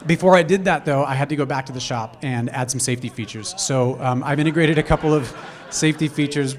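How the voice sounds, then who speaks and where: A man giving a business pitch on stage through a microphone, halting and repeating words as he addresses a live audience. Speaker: entrepreneur pitching a product.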